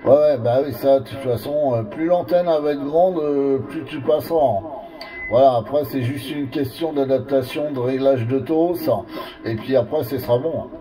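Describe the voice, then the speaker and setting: A man's voice: mid-range voice, normal speed. A man gives colloquial, explanatory advice in a casual two-way radio chat. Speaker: amateur radio operator. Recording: distant two-way radio sound.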